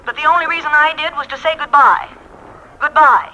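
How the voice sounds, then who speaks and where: A woman's voice: sits high, fairly quick, highs cut off, close to an everyday voice. An actress in a 1940s radio drama playing a fed-up, scornful woman novelist with a biting, sarcastic manner. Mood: scathing. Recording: noisy vintage 1940s radio recording.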